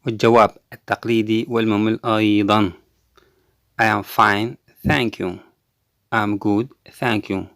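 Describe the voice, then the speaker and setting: A man teaching a language lesson, repeating each example phrase twice slowly and explaining quickly in between. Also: on the low side, steady tone, vocal fry, a bit bright, no room sound, clean studio sound. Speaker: English teacher.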